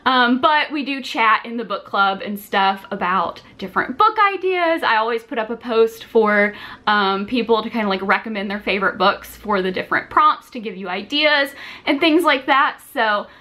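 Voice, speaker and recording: A young woman vlogger with a very bright, slightly high voice. She talks to the camera informally and rambles a little, often poking fun at herself. It is recorded at desk distance in a small room at home. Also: lively intonation, normal speed. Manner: rambling, self-deprecating, informal. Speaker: vlogger and shop owner. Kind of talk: casual chat to camera.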